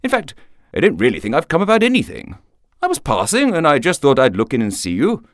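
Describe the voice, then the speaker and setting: A man voicing a casual, slightly sheepish young English gentleman in a story, offhand and lightly bemused. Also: on the high side, huge pitch swings, fast talker, no echo, studio quality, voice pushed up.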